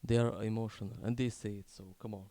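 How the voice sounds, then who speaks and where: A man in his twenties from Morocco tells a personal story unscripted, in a halting, candid, casually sweary way. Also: on the low side, little pitch movement, dark tone, no echo. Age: 20s. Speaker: video creator telling his life story.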